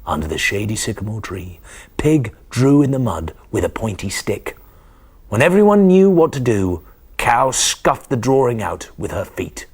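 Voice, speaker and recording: A man in his forties reading a children's bedtime story expressively, with dramatic, hushed emphasis. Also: fairly low pitch, very animated, moderate pace, slightly rough. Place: small TV studio kitchen set, indoors. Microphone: lapel mic.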